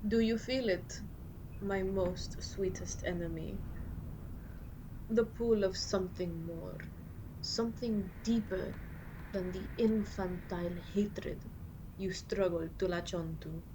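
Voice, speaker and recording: A woman playing a seductive, imperious immortal vampire queen with a put-on accent, speaking in breathy, formal, deliberate phrases for an ASMR roleplay. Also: medium pitch, steady tone, natural voice. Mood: intense, seductive. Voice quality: breathy, often dropping to a whisper. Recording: clear background ambience noise.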